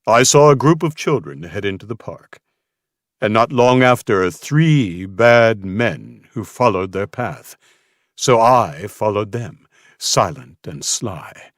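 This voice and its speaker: A man's voice: on the low side, animated, takes it slowly, no room sound, studio quality, voice pushed up. A man voicing an eccentric, scruffy male loner who talks in sly, giggly, theatrical rhymes for an audiobook. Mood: secretive, theatrical. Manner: giggly, erratic, theatrical rhyming delivery.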